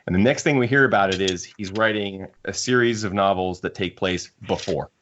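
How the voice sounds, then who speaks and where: Low-voiced man in halting podcast chat. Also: a bit bright, highs cut off, a little hiss or hum. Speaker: podcast host. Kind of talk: casual book discussion among friends.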